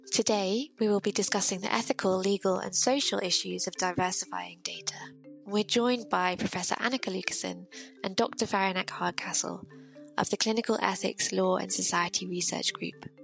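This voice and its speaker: A woman hosting a podcast interview, talking in a reflective, measured way with some fillers. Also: medium pitch, gravelly, fairly bright tone, poor audio. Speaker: podcast host.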